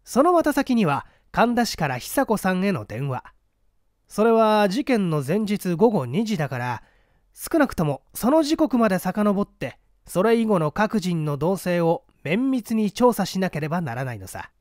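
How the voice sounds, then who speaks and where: A man's voice: high-pitched, very animated, moderate pace, no echo, clean studio sound. A man voicing a shrewd, condescending scholar-detective in a mystery reading, lecturing methodically and a little pompously. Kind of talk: dramatic storybook reading of a mystery.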